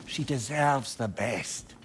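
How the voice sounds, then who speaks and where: A man playing a wry, talkative, loyal companion in a video game, with a familiar, expressive manner. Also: medium pitch, slow, heavy background noise, lightly acted. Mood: troubled, frank.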